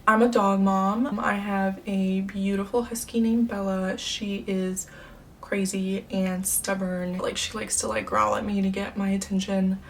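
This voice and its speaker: A woman's voice: medium pitch, flat delivery, unhurried. A woman in her twenties, born in the United States, chatting upbeat to camera as a beauty vlogger, with lots of fillers. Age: 20s. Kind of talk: casual chat to camera. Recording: slightly distant mic, faint room noise.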